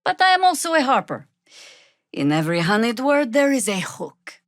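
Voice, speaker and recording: An actress playing a seasoned, sardonic older female druid warrior in a fantasy video game, wry, commanding and deliberate. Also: on the high side, sing-song, dry recording, clean studio sound. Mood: stern, dry.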